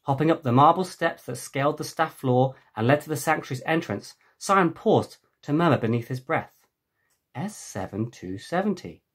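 A middle-aged man with a husky voice narrating a children's adventure novel as its author, recorded at a desk in a room at home. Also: a little high, moderate pace. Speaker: author reading own book. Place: small home room with bookshelves. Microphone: webcam at desk distance.

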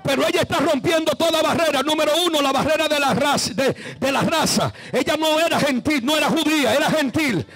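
A man preaching a fervent Pentecostal sermon in a gravelly, strained voice, impassioned and exclamatory, repeating phrases for emphasis. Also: extremely high pitch, a little fast, hardly any pauses, fairly bright tone. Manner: breaks into speaking in tongues and calls on listeners to respond.

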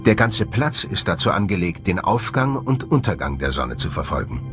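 A man's voice: low voice, very animated, normal speed, music in the background. A man presenting a documentary in scripted narration.

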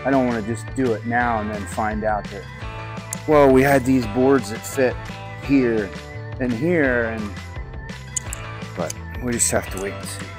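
A man's voice: medium pitch, unhurried, pauses often. Male DIY vlogger, offhand working talk, picked up by a camera in a barn, music underneath. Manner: offhand, thinking aloud. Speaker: vlog co-host doing the repair work. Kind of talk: casual on-site talk while working. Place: large open wooden barn.

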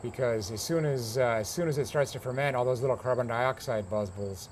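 An American man in his fifties talks casually in an interview, repeating words as he thinks. His voice sits at a medium pitch. He is outdoors on a porch, with faint insect noise behind him. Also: brisk, a little mellow. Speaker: grape grower and winemaker being interviewed. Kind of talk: casual explanatory interview talk. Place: outdoor covered porch beside a pond.

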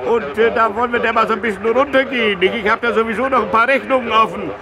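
A small-town fighter pilot with a high-pitched voice for a satirical radio sketch. He rambles folksily, hesitates and tags questions onto his sentences, full of petty vengefulness. Noisy vehicle sound surrounds him. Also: fast talker, a crowd in the background. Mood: rambling, self-important. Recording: loud vehicle noise around the voice.

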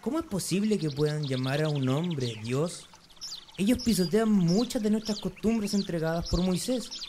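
A proud young Pharisee guarding tradition in a radio drama, played by a man. He is earnest and resolute, with a mellow, slightly high voice. Faint noise like trickling water runs behind him. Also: normal speed, close to an everyday voice. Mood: indignant. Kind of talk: amateur Spanish-language radio drama acting.

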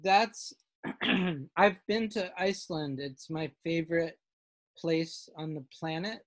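A man leading a casual class discussion over a video call, halting and full of restarts. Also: a little high, a bit bright, dry recording. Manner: halting, many fillers and restarts.

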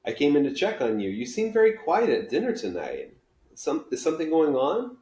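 A man reading as a gentle, soothing mother, roomy sound. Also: fairly high pitch, huge pitch swings, normal speed, pitched above the performer's own voice. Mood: concerned, tender. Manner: soothing, reassuring. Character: gentle, caring mother of a schoolgirl. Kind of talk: children's book read-aloud. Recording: roomy living-room echo.

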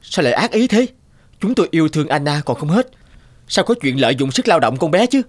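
A man's voice: on the high side, very animated, brisk. A man voicing a protective, distressed adoptive father in a cartoon, protesting with emotion. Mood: defensive, indignant. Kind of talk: animated cartoon dubbing.

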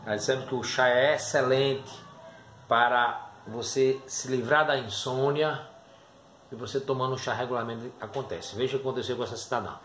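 A man's voice: mid-range voice, fairly even intonation, normal speed, fairly bright tone. A middle-aged man talking informally to camera, presenting and selling a product. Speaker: herbal tea seller presenting testimonials. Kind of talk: informal sales talk to camera. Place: small dim room indoors. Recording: roomy echo, faint background noise.

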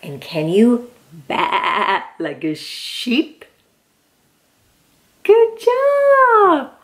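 A woman in her thirties reading a picture book aloud to young children in an excited, encouraging, exaggerated way. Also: on the high side, extremely slow. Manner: exaggerated, sing-song animal noises and questions. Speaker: children's read-aloud host. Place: indoor room with plain wall.

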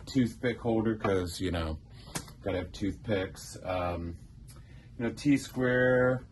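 Middle-aged prop guy in his shop, low voice, slow and rambly, noisy background. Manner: rambling, many ums and uhs, listing items. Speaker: theater prop maker. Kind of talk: casual show-and-tell tour.

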